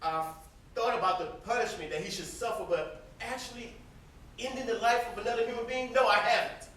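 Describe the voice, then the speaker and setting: In a roomy, heavily echoing stage recording from far off, a young man plays a conscience-stricken brother. His voice is very high and bright, and he pleads earnestly against revenge in anguish. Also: brisk. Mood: disbelieving. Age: young adult. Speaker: student stage actor. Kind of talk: stage play acting. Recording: distant, very echoey, noisy stage recording.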